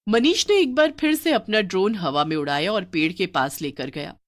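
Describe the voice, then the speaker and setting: A woman's voice: mid-range voice, lively intonation, normal speed. A woman reading a scripted story as its narrator.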